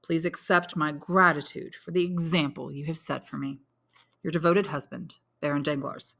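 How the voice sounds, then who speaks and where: A woman's voice: deep voice, fairly even intonation, moderate pace, gravelly, fairly bright tone, full character voice. A woman reading an audiobook, voicing a bitter banker husband in a formal, sardonic, pointed manner. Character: bitter, sarcastic banker husband writing farewell letter.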